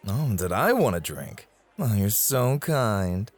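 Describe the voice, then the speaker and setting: A man playing a devoted, quietly possessive club host in an ASMR roleplay, speaking in polite, formal, flowery phrases. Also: fairly low pitch, sing-song, slow, some chatter behind, exaggerated voice. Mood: suave, pleased.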